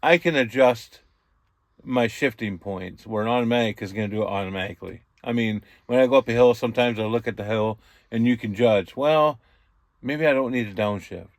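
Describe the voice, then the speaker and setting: A man's voice: medium pitch, a little fast, dry recording, clean studio sound. A man with a folksy, colloquial way of talking, casually explaining things like an experienced radio hand. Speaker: experienced CB radio user explaining trucker slang. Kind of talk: casual chat. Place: inside a truck cab, passenger seat.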